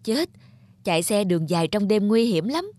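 A woman playing a kindly middle-aged neighbour who minds children in an animated tale, gentle and reassuring. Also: medium pitch, normal speed, no room sound. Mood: concerned. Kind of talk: animated moral-tale voice acting. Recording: music under the voice.

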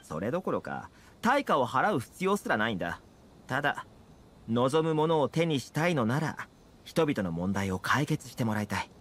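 A man voicing an easygoing, confident young fixer in a Japanese video game, talking smoothly and persuasively. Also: mid-range voice, fairly bright tone, slight background noise. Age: young adult.

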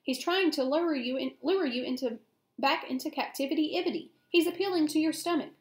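A woman's voice: sits high, fairly quick, roomy sound, pitched above the performer's own voice, close to an everyday voice. A woman voicing a bossy, frantic female goose from a storybook, insistently repeating urgent orders. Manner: insistent, repeats every phrase. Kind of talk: animated storybook character voice.

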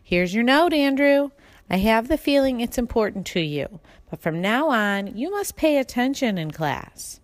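A woman's voice: fairly high pitch, sing-song, unhurried, no room sound, voice pushed up. A woman playing a firm but fair female schoolteacher in a storybook, giving instructions.

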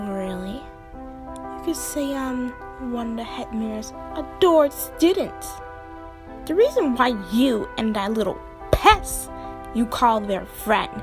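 A woman voicing a haughty, spiteful young unicorn bully in a cartoon audio drama, with a sneering, mocking drawl. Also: fairly high pitch. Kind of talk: animated fan audio drama.

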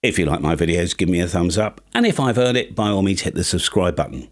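A man's voice: low voice, animated, fast talker. A British man in his fifties giving a chatty, tongue-in-cheek comic monologue to camera as a presenter. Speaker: YouTube ham radio presenter. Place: small home studio with acoustic panels. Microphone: studio microphone on boom arm.

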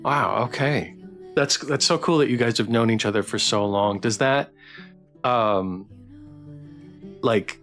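A man's voice: medium pitch, unhurried, long pauses, fairly bright tone, soft background music. A man hosting a casual live stream interview, talking in an enthusiastic, effusive way.

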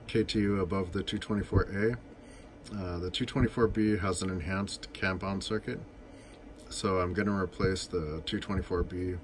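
Low-voiced man giving a technical demo, background noise. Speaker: demonstrator of vintage telephone equipment. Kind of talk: informal technical demonstration, unscripted. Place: indoors beside an equipment cabinet.

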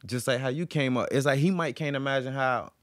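A man's voice: medium pitch, brisk, no echo. A young man chatting casually as a podcast guest, rambling in slang and repeating himself, close on the mic. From Virginia, US. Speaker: podcast guest, recording artist. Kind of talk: casual chat. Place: small podcast room with couches.

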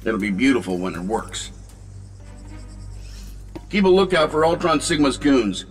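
An actor playing a cocky, flippant genius inventor superhero who teases sarcastically in a video game cutscene. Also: male voice, mid-range voice, very animated, a little slow, long reverb tail, soft background music. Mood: brisk, wry.

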